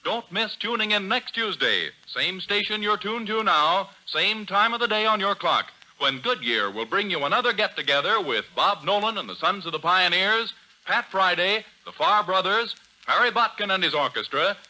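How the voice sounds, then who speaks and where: Bright, sharp American radio announcer. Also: male voice, sits high, lively intonation, moderate pace, rough recording. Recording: old radio broadcast recording.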